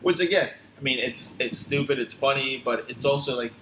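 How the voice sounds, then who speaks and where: A man from Tanzania who grew up in upstate New York, talking casually and reflectively as a podcast guest, often halting and repeating phrases. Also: medium pitch, bright, forceful tone. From born in Tanzania, grew up in upstate New York. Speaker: podcast guest, physical therapist. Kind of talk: casual conversational storytelling. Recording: roomy echo, clear background noise.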